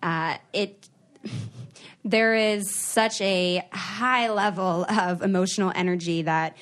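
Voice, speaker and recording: A young woman hosting a casual podcast interview, engaged and quick to laugh. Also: medium pitch, fairly even intonation. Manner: warm, engaged listener with frequent laughs. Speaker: podcast host.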